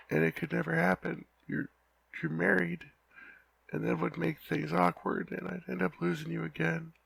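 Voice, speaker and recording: A young man playing a lovesick, flustered knight in an ASMR roleplay, talking softly and haltingly with frequent stammers. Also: on the high side, vocal fry, husky, no room sound, full character voice. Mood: anxious, pleading. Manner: halting, stammering, soft-spoken.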